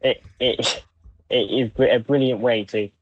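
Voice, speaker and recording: A man's voice: medium pitch, steady tone, a little slow, no room sound. A man co-hosting a podcast in casual, conversational fan chat. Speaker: podcast co-host. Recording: dull, dry, band-limited microphone.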